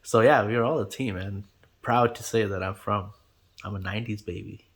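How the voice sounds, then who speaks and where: A man's voice: lowish voice, fairly even intonation, normal speed. A man in his twenties, Gujarati native speaker from India, chatting casually in an interview with lots of fillers and easy laughter. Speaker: channel host being interviewed.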